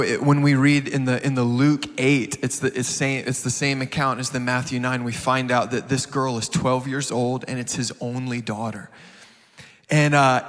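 A man's voice: mid-range voice, fairly bright tone. A man in his thirties speaking earnestly from the stage, often repeating words as he builds his point. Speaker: guest speaker. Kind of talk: heartfelt sermon. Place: large church hall. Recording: reverberant hall.

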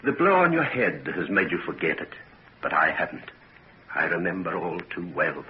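An actor playing a grave, protective middle-aged father in a 1940s radio drama, speaking with solemn, deliberate earnestness. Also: low-pitched, lively intonation. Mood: ominous. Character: grave, suspicious, protective father of a grown daughter. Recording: dull, noisy 1940s radio recording.

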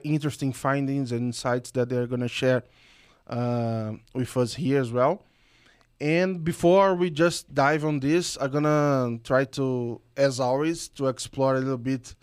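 A man from Brazil hosts a podcast and asks his guest questions with open enthusiasm. His voice is mid-pitched and smooth, and he leans on fillers as he goes. The recording is dry and close. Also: no echo. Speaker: podcast host. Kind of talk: podcast interview.

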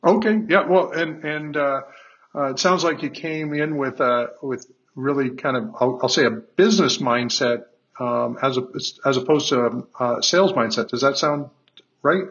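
A man's voice: medium pitch, normal speed, fairly bright tone, highs cut off. A man hosting a podcast, interviewing a guest in a hesitant way, with many fillers and restarts. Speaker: podcast host.

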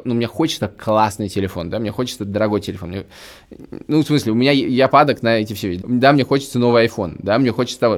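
A man in his thirties speaks as a podcast co-host at a very quick clip. His pitch sits in the middle for a man. He is casual but emphatic, often circling back to restate his point. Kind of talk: casual opinionated podcast chat.

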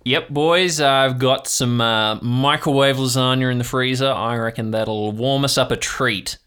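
A man's voice: medium pitch, fairly even intonation, unhurried, fairly bright tone, clear, resonant tone, lightly acted. A man voicing an easygoing older seafaring character in an improvised audio drama, folksy and rambling. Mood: cheerful.

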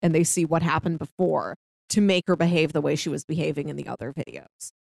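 A woman's voice: deep voice, fairly even intonation, fairly quick, creaky, darkish tone, no room sound. A woman chatting casually about a film as a podcast co-host.

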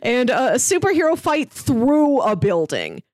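A woman's voice: high-pitched, sing-song, a little slow, a little mellow, dry recording. A woman co-hosting a podcast in casual, unscripted conversation. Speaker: podcast co-host.

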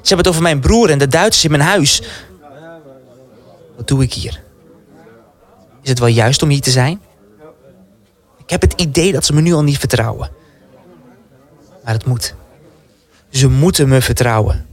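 A man's voice: medium pitch, lively intonation, normal speed, long pauses, lightly acted. A man acting an anxious, defiant young resistance recruit in a dramatic first-person radio play. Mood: nervous, tense.

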